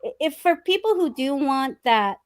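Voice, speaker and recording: A woman's voice: sits high, lively intonation, moderate pace, no room sound, studio quality. A woman hosting an interview over a video call, asking questions in a thoughtful, friendly way and laughing easily. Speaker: interview host.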